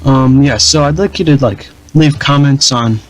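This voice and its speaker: A young man talks through his strategy campaign in an unhurried, meandering way. His voice is flat and a bit dull, with lots of ums, uhs and restarts. His pitch sits in an ordinary middle range for a man. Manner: rambling, many fillers and restarts. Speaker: gaming channel host. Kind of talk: casual gameplay commentary.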